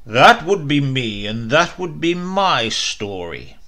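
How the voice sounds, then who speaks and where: A man voicing a gruff medieval knight who mocks and sneers. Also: mid-range voice, very animated, takes it slowly, bright, forceful tone, full character voice. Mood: mocking. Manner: mocking, sneering.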